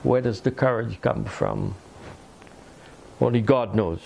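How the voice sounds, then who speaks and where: An older man preaching a sermon from a lectern in a small room, slow and deliberate with pauses. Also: fairly low pitch, vocal fry, a little mellow, a little hiss or hum. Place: small indoor room by a fireplace mantel.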